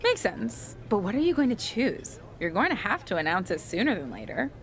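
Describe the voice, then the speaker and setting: A woman's voice: medium pitch, big pitch swings, brisk, a little hiss or hum. An actress playing a wry, chatty documentary interviewer in a wrestling video game cutscene, probing as she talks.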